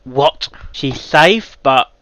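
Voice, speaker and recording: A man's voice: on the high side, animated, very slow, bright, forceful tone, no room sound. A man giving emotional reaction commentary, blunt and sweary. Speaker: reaction video host.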